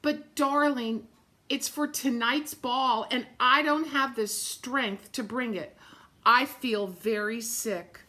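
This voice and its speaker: A woman's voice: on the high side, takes it slowly, sharp tone. A woman voicing a sick, tired mother in a storybook, tender and fretful. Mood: weary, regretful.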